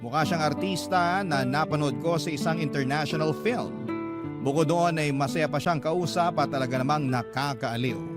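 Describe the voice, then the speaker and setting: A man's voice: on the high side, moderate pace, close to an everyday voice. An actor playing a smitten office worker, narrating his love story earnestly and sentimentally in a Tagalog radio drama. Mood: fond, reflective. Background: acoustic guitar music.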